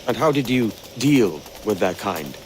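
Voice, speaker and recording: Cheeky young pirate, dry and teasing, lively tone, bit of background noise. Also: male voice, fairly low pitch, a little slow. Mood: wry. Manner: dry, teasing, casual delivery. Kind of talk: video game cutscene acting.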